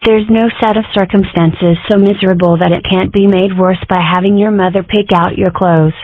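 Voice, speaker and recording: A woman's voice: medium pitch, normal speed, no echo, lightly acted. A woman voicing a spirited, sardonic young fox girl in an amateur comic dub. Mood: wry. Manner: sardonic and lively. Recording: dry, tinny phone-like recording.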